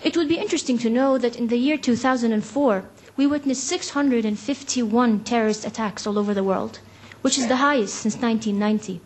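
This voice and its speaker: A woman's voice: fairly high pitch, fairly quick, few pauses, a little mellow. A young woman from Egypt giving a serious prepared speech at a forum, reading out figures. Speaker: youth delegate.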